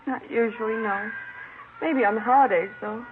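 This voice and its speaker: Actress doing a sad housewife on an old radio crime show, higher voice, tinny sound. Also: female voice, moderate pace, natural voice. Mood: subdued, wistful. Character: sorrowful housewife questioned about her murdered friend. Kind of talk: 1950s radio drama acting. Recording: tinny, faintly noisy vintage radio.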